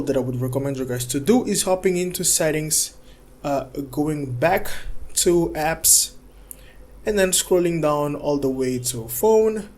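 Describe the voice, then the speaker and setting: A man's voice: fairly high pitch, a little mellow. A man hosting a tech tutorial, narrating steps casually in an instructional, reassuring way and often checking in with a short tag.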